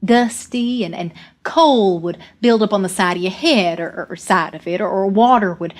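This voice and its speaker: A woman acts out an earnest young coal miner in a dramatic storytelling monologue. The speech is folksy and rural, plainspoken, halting and doubling back on words, at a middling pitch for a female voice. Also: close to an everyday voice. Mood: matter-of-fact, wry.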